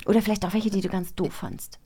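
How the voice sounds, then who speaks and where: A woman's voice: mid-range voice, fairly even intonation, fast, mellow, breathy edge. A woman chatting casually as a podcast co-host, in a chatty, emphatic way. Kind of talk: casual chat.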